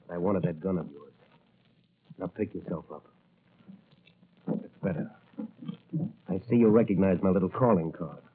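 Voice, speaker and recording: An actor playing a stern, self-assured, coolly polite masked crime-fighter in 1940s-style radio drama. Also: male voice, low-pitched, husky. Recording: muffled, narrow-band vintage radio recording.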